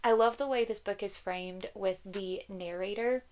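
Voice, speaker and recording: A woman's voice: mid-range voice, moderate pace. Chatty congested woman recommending books. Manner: chatty, giggly, with many ums. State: congested, slightly croaky. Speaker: book vlogger. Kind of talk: casual book recommendations.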